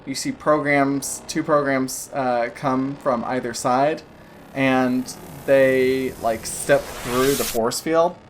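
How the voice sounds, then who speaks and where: Halting woman narrating as game master. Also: extremely low pitch, fairly even intonation, a little hiss or hum, rough recording. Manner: loose, halting narration full of "like" and "kinda".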